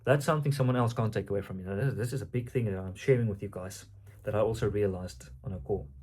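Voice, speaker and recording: A man's voice: on the low side, fast, a little mellow, husky. A man from South Africa whose first language is Afrikaans, speaking English with an Afrikaans accent in an earnest, rambling, unscripted vlog monologue. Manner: rambling, earnest, thinking aloud. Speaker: vlogger and aspiring coach.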